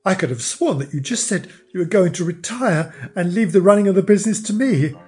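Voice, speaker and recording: A man's voice: high voice, lively intonation, brisk, darkish tone, faint music behind, pitched above the performer's own voice. A man voicing a sulky, hen-pecked grown son who fancies himself a villain, flustered and affected, in a storybook reading.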